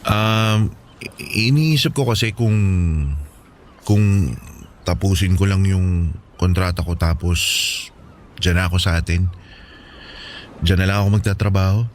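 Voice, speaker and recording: A man's voice: low voice, steady tone, unhurried, long pauses, background noise. An actor playing a homesick Filipino seaman husband in a radio drama, anxious, hesitant and pleading with his wife. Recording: music bed under the voice.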